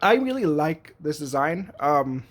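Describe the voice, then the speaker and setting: A man's voice: a little high, big pitch swings, measured pace. A man giving a casual, rambling spoken review with lots of fillers and restarts, offering blunt and enthusiastic opinions from his computer at home. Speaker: YouTube reviewer.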